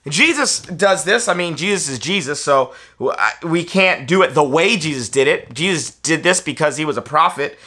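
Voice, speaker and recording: High, bright-voiced American preacher. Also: male voice, lively intonation, moderate pace, hardly any pauses. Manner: emphatic, persuasive, repeats key phrases. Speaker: preacher giving a tutorial. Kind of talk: instructional talk to camera. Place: small furnished indoor room, seated on a sofa.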